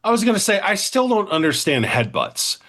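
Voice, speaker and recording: A man's voice: sits high, fairly quick. A man co-hosting a podcast, swearing freely and joking at his own expense while reviewing an action movie. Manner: profane, animated, self-mocking. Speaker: podcast co-host. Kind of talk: casual movie review chat.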